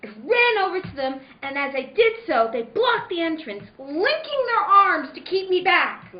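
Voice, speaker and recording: A woman's voice: extremely high pitch, very animated, moderate pace. A woman playing a frightened, pleading wife trapped in a nightmare, in melodramatic old-time radio drama style. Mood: uneasy narrating. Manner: melodramatic, breathless pleading and narrating. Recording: dull, echoey room recording.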